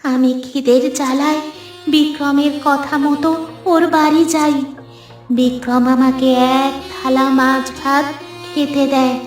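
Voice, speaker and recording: A woman voicing the vengeful ghost of a wronged young woman in a scripted horror cartoon, with a deliberate, aggrieved delivery. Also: high voice, flat delivery. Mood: mournful. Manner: slow, deliberate, grievance-laden delivery. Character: vengeful female ghost of a poor starved murdered girl. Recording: echoey, distant voice over music.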